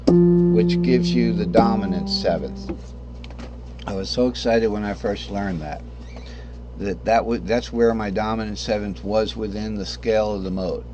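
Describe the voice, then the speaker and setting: A hoarse man losing his voice, talking through a casual guitar lesson at an unhurried pace. He rambles and corrects himself, clearing his throat often. A guitar plays faintly behind him. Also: mid-range voice, low-quality recording. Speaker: guitar teacher. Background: guitar playing.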